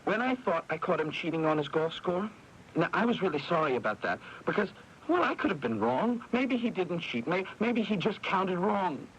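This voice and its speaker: An actor playing a guilt-ridden, agitated brother in a 1980s radio drama, halting and repeating himself. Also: male voice, sits high, sing-song, a bit bright. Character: anguished, guilt-ridden brother with a fierce temper. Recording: noisy 1980s AM radio broadcast.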